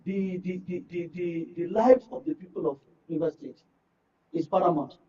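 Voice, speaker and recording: High-voiced Nigerian male political commentator. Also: fairly even intonation, moderate pace, warm tone. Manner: repeats words for emphasis. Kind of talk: earnest political discussion. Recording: muffled, distant-sounding studio talk.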